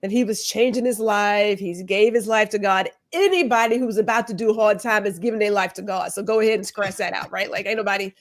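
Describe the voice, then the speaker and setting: A woman's voice: mid-range voice, fairly quick, few pauses. A woman panelist giving casual opinions in a blunt, emphatic, colloquial way. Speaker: talk show panelist.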